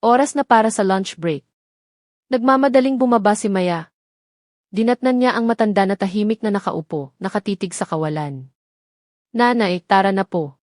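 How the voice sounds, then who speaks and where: A woman's voice: mid-range voice, animated, normal speed, a little mellow, dry recording, clean studio sound. A woman reading a scripted dramatic story as its narrator.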